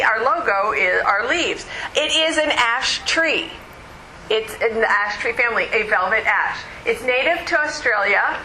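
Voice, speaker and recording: A woman presenting at a conference in a loud, chatty way, moving around as she speaks. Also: fairly high pitch, a little hiss or hum. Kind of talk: casual conference talk. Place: conference meeting room. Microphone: room microphone, not close. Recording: echoey room recording.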